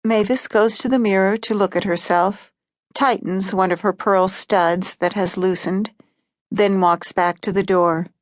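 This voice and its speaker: Calm woman narrating literary fiction. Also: medium pitch, measured pace, no echo. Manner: calm, even storytelling. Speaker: audiobook narrator.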